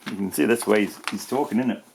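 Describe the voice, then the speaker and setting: A man's voice: fairly low pitch, very fast, low-quality recording. A man reading a children's storybook aloud in a playful way, with chatty asides. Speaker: storybook reader. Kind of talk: animated children's read-aloud.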